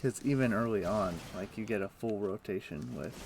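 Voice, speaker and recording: A man's voice: fairly low pitch, moderate pace, creaky, a little hiss or hum. A man streaming a game from home on a headset, chatting casually and thinking aloud with plenty of ums and uhs. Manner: relaxed, thinks aloud with many fillers. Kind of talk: casual chat while gaming.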